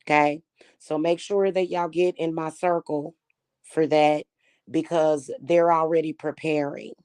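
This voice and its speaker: Slightly hoarse woman chatting to viewers. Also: low-pitched, little pitch movement, measured pace, a little mellow. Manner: chatty, defiant, addresses viewers as y'all. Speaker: spiritual reader and channel host. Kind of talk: casual talk to viewers.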